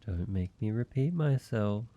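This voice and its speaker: A man acts out an ancient male vampire for a roleplay audio drama, flirtatious and courteous. The voice is on the low side and mellow, drawn out at a very unhurried pace. He teases playfully, with a touch of mock menace. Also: animated. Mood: playful teasing.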